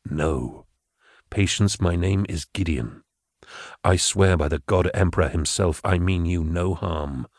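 A man voicing a polite, refined middle-aged male telepath who speaks in a hushed, measured way. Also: low-pitched, dry recording, clean studio sound. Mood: calming.

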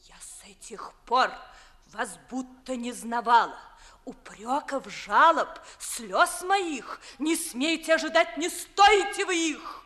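A proud young gentlewoman, wounded and betrayed. Her voice is high and bright, and she declaims verse slowly in 1950s radio theatre style, breathy and intense. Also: lively intonation, exaggerated voice. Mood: indignant, cold. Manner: declamatory, with breathy whispers and sudden outbursts.

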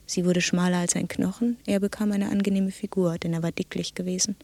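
Hushed, creaky-voiced, solemn storyteller woman. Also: fairly low pitch, level tone, dry recording. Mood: hushed, detached. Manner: measured, detached storytelling. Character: subdued, solemn woman telling a dark fairy tale. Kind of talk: literary storytelling in German radio drama.

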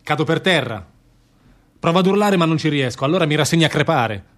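A man acting in a radio drama as a doubting, haunted public prosecutor, speaking gravely in measured phrases. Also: on the high side, animated, a bit bright. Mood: haunted, intense. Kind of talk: theatrical radio drama dialogue.

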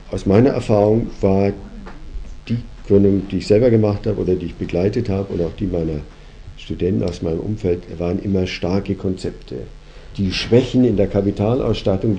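Deep, dark-voiced German man hosting. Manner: reflective, argumentative, hesitant with fillers. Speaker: host and company founder. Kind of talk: lecturing conversation.

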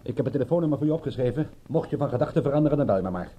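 An actor playing an insistent, brusque private detective in a radio drama, speaking in a terse, pressing way. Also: male voice, mid-range voice, expressive, rapid-fire, lo-fi sound, natural voice. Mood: brusque warning.

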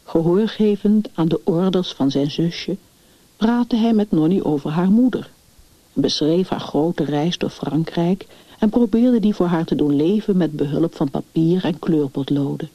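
A woman reads a literary story in a measured, deliberate storytelling manner. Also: lowish voice, dry recording. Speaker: story narrator. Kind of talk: scripted literary story reading. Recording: dull, muffled lo-fi recording.

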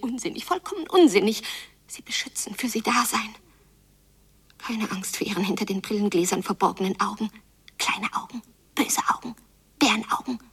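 A woman playing a frightened daughter in a radio drama, thinking aloud in hushed, breathy fragments. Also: medium pitch, distant mic. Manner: hushed, breathy interior monologue in clipped fragments. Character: Jule, frightened daughter brooding over her quarrelling parents. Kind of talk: literary radio drama monologue.

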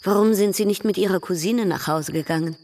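Actress as a probing, proud baroness. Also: female voice, mid-range voice, fairly quick, darkish tone, lightly acted. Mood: cool, probing. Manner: formal, pointed questioning. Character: proud, probing baroness, coolly direct and teasing. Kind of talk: radio drama acting.

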